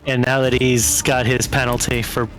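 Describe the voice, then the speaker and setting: A man's voice: medium pitch, little pitch movement, normal speed, a bit bright, rough recording. A man playing a tabletop game, casually describing what his characters do. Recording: music playing behind the voice. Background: music.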